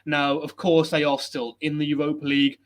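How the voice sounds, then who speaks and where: A man hosting a football chat show, chatty, energetic and self-deprecating, speaking without a headset at a laptop setup. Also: on the high side, a little fast. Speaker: host.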